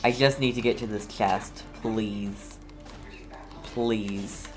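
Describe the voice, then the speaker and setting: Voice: mid-range voice, fairly even intonation, slow. A streamer giving casual gameplay commentary, playful and mock-scolding, often talking straight to the game. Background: game music.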